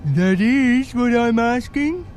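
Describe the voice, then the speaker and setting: A man's voice: extremely high pitch, expressive, slow, a little mellow, soft background music, exaggerated voice. A man playing a naive, frightened patchwork creation in an audio drama, hesitant and protesting. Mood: bewildered.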